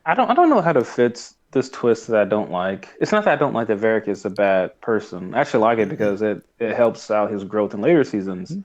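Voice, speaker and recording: Lowish-voiced man in casual podcast chat. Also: big pitch swings, fairly quick, hardly any pauses, lo-fi sound. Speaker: podcast co-host. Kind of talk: casual episode recap chat.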